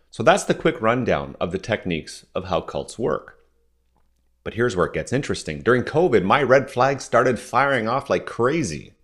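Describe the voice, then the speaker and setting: Measured low-voiced man, persuasive monologue. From Southern Ontario, Canada. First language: French. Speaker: podcast host. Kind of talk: scripted solo monologue.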